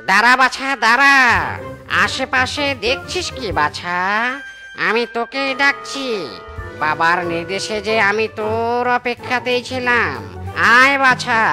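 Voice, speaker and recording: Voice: mid-range voice, pushed and bright, exaggerated voice. A performer voicing a sly monkey who poses as a mystic holy man in a comedy cartoon, chanting and proclaiming theatrically. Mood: commanding, beckoning. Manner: theatrical incantation, drawn-out proclamations. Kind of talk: Bangla comedy cartoon dubbing.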